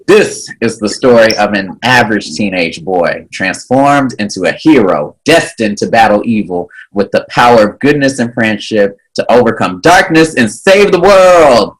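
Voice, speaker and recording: A man's voice: lowish voice, expressive, normal speed, hardly any pauses, breathy edge, poor audio. A man playing a cocky, goofy teenage boy. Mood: playful. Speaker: actor in a table read. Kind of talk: table read acting.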